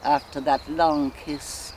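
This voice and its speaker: An actress playing a sensual Irish woman lost in rapturous memory, in an expressive, musing theatrical soliloquy with an Irish accent. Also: low-pitched, rough recording. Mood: tender reminiscence. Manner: musing, expressive, slow and deliberate with sighs. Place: outdoors in countryside woodland. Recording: faint outdoor ambient noise. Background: faint birdsong and nature ambience.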